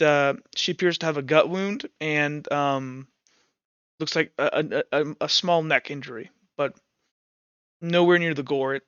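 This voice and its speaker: Bright, higher-voiced man running a game. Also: smooth, no room sound, muffled recording. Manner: halting, informal delivery with many "like"s. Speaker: game master. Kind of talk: improvised tabletop roleplay narration; casual chat with players.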